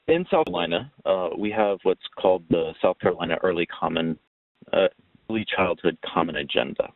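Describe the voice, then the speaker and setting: A man giving an informal explanatory presentation in a halting way, pausing mid-sentence, over a muffled phone-like line. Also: low-pitched, no room sound. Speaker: webinar presenter.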